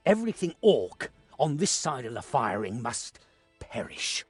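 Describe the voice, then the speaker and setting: A man playing a shrewd, commanding war leader in a fantasy game, measured and authoritative. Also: mid-range voice, big pitch swings, husky, faint music behind. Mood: resolute scheming.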